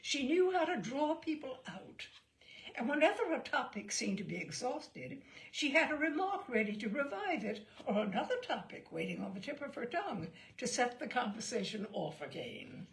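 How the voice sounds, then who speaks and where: Lively high-pitched woman reading a story. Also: moderate pace, vocal fry, rough recording. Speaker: audiobook reader. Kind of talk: expressive literary short story narration.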